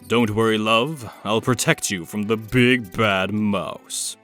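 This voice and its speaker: A man's voice: lowish voice, steady tone, slow pace, a bit bright, soft background music. A man playing a smug, calculating villain, condescending and controlled, in a comic dub. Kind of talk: amateur comic dub voice acting.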